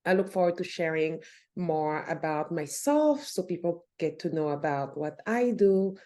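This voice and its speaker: A woman from Cameroon with a fairly low voice talks through her story on a podcast. She sounds relaxed and reflective, often starting a thought over and adding small fillers as she goes. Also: unhurried. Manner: warm, reflective, many fillers and restarts. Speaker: podcast guest, nonprofit founder. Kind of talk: casual interview conversation.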